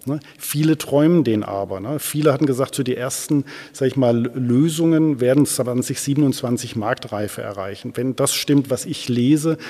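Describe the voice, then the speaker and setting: A man with a dark, relaxed voice speaks as an expert guest in an interview. He explains discursively, often checking in with short tag questions. Long reverb hangs around his voice. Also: mid-range voice, normal speed, hardly any pauses, slightly rough. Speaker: interview guest, transformation researcher.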